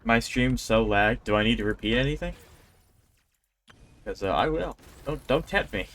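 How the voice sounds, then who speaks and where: A man's voice: mid-range voice, animated, normal speed, a bit bright. A man chatting casually as a game streamer, rambling in a conversational way. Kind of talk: casual livestream chat. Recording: game music behind voice. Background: video game music.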